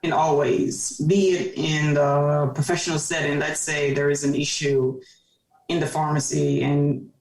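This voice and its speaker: Low-voiced woman, off-mic. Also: flat delivery, a little slow, a little mellow, far from the mic. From Cameroon. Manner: earnest, reflective storytelling. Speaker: podcast guest, pharmacist. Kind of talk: casual conversation. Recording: roomy, off-mic sound.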